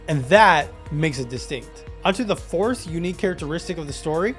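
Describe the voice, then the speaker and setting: A man with a slightly high voice delivers a scripted explainer. He presents like an author walking through his own work, formal and emphatic as he moves from point to point. Music plays softly underneath his voice. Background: background music.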